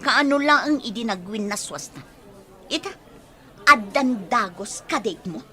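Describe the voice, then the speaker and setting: A woman's voice: fairly high pitch, animated, unhurried, pushed and bright, heavily acted. A woman with a Filipino accent acting a hurt, nagging, thrifty girlfriend in an emotional, scolding radio drama style. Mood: accusing. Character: Bianca, hurt, nagging, thrifty girlfriend confronting her boyfriend.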